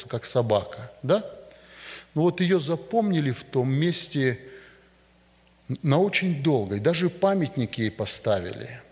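A man preaching a sermon to a congregation in a church, speaking emphatically and deliberately. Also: fairly high pitch. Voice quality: emphatic, rising and falling for stress, slow deliberate delivery. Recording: long reverb of a church hall.

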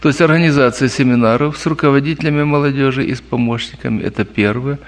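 A man telling stories and answering questions at a church meeting, lively and anecdotal, addressing the listeners as brothers. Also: mid-range voice, fast talker.

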